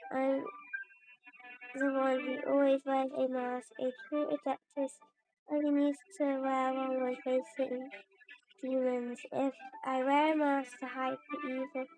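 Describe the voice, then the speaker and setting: A woman reading video game dialogue aloud in a halting way, often stumbling and correcting herself. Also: high-pitched, darkish tone, low-quality recording. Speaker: streamer playing and voicing a video game.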